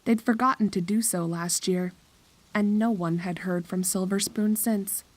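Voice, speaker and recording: A woman's voice: mid-range voice, steady tone, a little slow, no room sound, low-quality recording. A woman narrator reading a scripted horror story.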